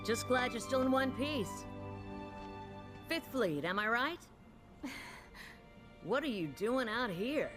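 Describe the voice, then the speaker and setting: A woman playing a seasoned, self-assured field researcher in a video game cutscene, calm, probing and matter-of-fact. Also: a little high, slow, long pauses. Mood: friendly, relieved. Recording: game cutscene with loud background music.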